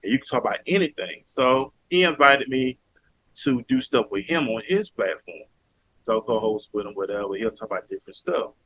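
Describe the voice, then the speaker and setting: A man's voice: medium pitch, lively intonation, a little fast, a bit bright, poor audio. A man from Alabama with a Southern accent, talking earnestly and emphatically as a podcast guest. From Mobile, Alabama, US. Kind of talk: casual interview talk.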